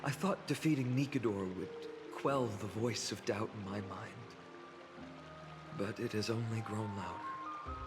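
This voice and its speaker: A man's voice: fairly low pitch, unhurried, close to an everyday voice. A man playing an earnest, self-doubting young fantasy hero in a video game, reflective and self-deprecating in his talk. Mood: troubled, confiding. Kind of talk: video game voice acting, dramatic dialogue. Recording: long reverb, music behind.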